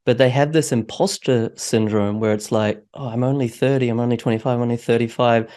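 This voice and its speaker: A man's voice: medium pitch, steady tone, a little fast, a little mellow. A man hosting a casual interview, talking with a friendly, slightly hesitant manner. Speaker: podcast host.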